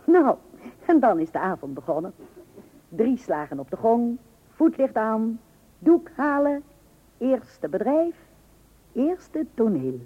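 An actress playing a long-suffering, dryly ironic wife in an old radio comedy, with a Netherlands Dutch accent. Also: female voice, fairly high pitch, very animated, slow pace, pauses often, breathy edge, exaggerated voice. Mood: dry, amused. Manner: dryly ironic, mock-patient. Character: wry, long-suffering wife humouring her pompous husband. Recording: muffled old radio recording.

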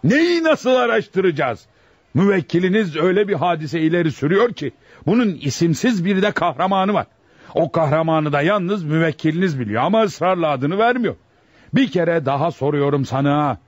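An actor playing a stern, fatherly presiding judge in a radio drama, speaking formally, deliberately and with authority. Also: a little high, lively intonation, no echo. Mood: probing. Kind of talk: Turkish radio theatre acting. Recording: muffled, dry lo-fi radio recording.

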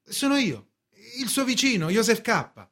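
Timid, flustered clerk, husky-voiced. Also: male voice, extremely high pitch, very animated, unhurried, a bit bright, heavily acted. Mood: excited, agitated. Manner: hesitant, stammering, over-apologetic. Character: timid, flustered male clerk, apologetic, bursting into bravado. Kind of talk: theatrical radio drama acting.

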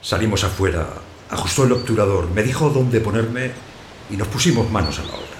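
A man playing a lonely householder who tells his own story in audio fiction. The voice is very low, with measured, plain delivery. It sits in a roomy echo with clear noise behind it. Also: lively intonation, lightly acted. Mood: subdued, reflective. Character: lonely abandoned householder narrating his own strange encounter. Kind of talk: first-person literary narration in audio fiction.

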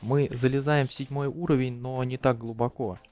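A man's voice: mid-range voice, fairly even intonation, normal speed, darkish tone, faint music behind. A man speaking casually as a panelist in a technical discussion.

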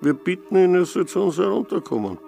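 Radio play officer: officious, high-voiced, creaky, instructing and warning. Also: male voice, big pitch swings, a little fast, mellow, soft background music, full character voice. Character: officious policeman, calling out, then confiding warnings. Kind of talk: radio drama acting.

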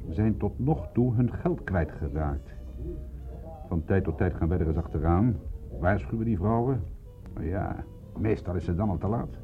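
Very deep-voiced, dry police inspector. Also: male voice. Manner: terse, dry, insistent questioning. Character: dry, worldly-wise male police inspector, probing and sardonic. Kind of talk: radio play acting. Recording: phone-like thin radio play recording with music.

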